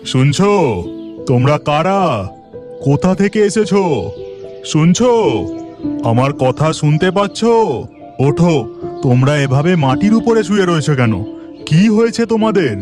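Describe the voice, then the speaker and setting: A man playing a kindly, poor village woodcutter who talks in a gentle, plain, reassuring way in a fairy-tale cartoon. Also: fairly high pitch, expressive, a little slow, long pauses, music in the background. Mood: amazed, concerned.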